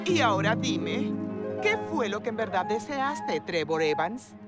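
A woman's voice: mid-range voice, very animated, a little slow. A woman voicing a fussy, doting mother with a gushing, dramatic manner in a cartoon. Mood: coaxing. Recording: loud music behind the voice.

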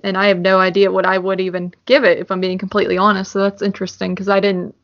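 A woman's voice: mid-range voice, completely flat delivery, fast. Woman chatting on lo-fi audio. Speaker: podcast co-host. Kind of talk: casual chat. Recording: dull, lo-fi audio.

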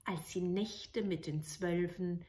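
Female storyteller, middle-aged, unhurried delivery, expressive fairy tale narration. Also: fairly low pitch. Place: indoors, living room with books.

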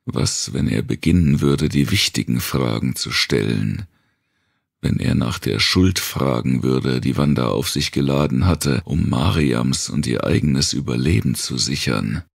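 A man reading a dark thriller audiobook in a measured, deliberate way, with a gravelly, husky voice. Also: extremely low pitch, no room sound, studio quality. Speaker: audiobook narrator. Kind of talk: scripted narration of a dark post-apocalyptic thriller.

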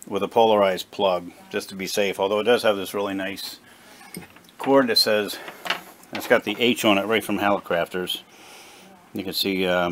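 A man giving a casual, unscripted hands-on repair demonstration in a plain, halting, explanatory way at a workshop bench. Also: sits low, a bit bright, slight background noise. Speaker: presenter of a radio repair video. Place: small indoor workshop at a workbench.

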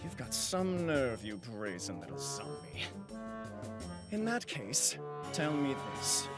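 A man's voice: medium pitch, huge pitch swings, slow pace. A man playing a haughty, theatrical demon overlord in an anime game, pompous and mocking. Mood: condescending. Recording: loud music behind the voice.

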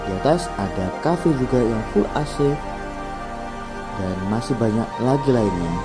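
A chatty man, at a middling pitch, narrates a casual walkthrough of a crowded food court. He speaks into a handheld camera mic. Loud music plays over the recording throughout. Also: animated, a little slow. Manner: chatty, upbeat, loose. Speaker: vlogger touring a food court. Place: busy food court and cafe, indoors at evening.

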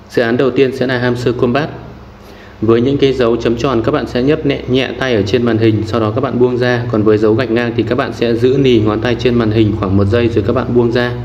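A man hosting a tutorial channel, calmly talking viewers through app steps one at a time. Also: medium pitch. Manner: calm step-by-step instructions. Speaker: channel host. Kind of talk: screen-recorded tutorial narration. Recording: roomy, muffled, faint background noise.

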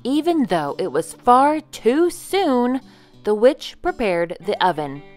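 A woman reading a children's picture book aloud as a storyteller in an animated way, with music behind her. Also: fairly high pitch, slow.

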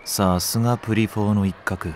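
A man's voice: fairly low pitch, fairly even intonation, moderate pace, some chatter behind, slight background noise, natural voice. An actor playing a cynical, lazy young male nursery teacher in an audio drama, with a flippant, teasing delivery. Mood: wry. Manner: flippant, sardonic. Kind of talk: Japanese audio drama acting.

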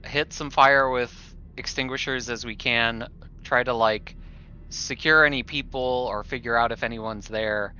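A man's voice: medium pitch, fairly even intonation, measured pace, pushed and bright, slight background noise. A man playing a tabletop roleplaying game, casually laying out a plan. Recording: dull lo-fi microphone.

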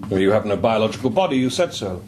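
An actor playing a thoughtful, cautious priest in a radio drama, asking gently probing questions in a hesitant way. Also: male voice, fairly low pitch, expressive, quick pace, natural voice. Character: thoughtful, cautious male priest interviewing an artificial intelligence. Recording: clear background noise.

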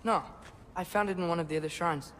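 A man's voice: a little high, fast, a bit bright, a little hiss or hum, close to an everyday voice. An actor playing an earnest, eager teenage boy explaining things in a video game cutscene, slightly hesitant. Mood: defensive, earnest.

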